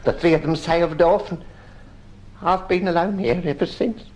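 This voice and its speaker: An actor playing an old marooned sailor, half-crazed by solitude, halting and repetitive, in a children's audio drama. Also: male voice, fairly high pitch, darkish tone, close to an everyday voice, poor audio. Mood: recounting, haunted.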